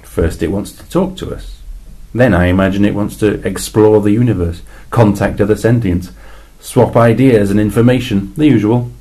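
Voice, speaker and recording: A man's voice: on the low side, fairly even intonation, moderate pace, dark tone, close to an everyday voice. A man voicing a weary male alien envoy, explaining in a dry, exasperated way with sudden emphatic rises in a two-voice science fiction story reading.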